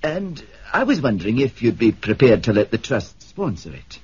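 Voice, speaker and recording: An actor playing a restrained, bereaved father, measured and courteous, in a 1970s radio drama. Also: medium pitch, big pitch swings, darkish tone, slightly rough. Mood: deliberate. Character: bereaved, restrained Scottish father, quietly determined. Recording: dull, muffled 1970s radio recording.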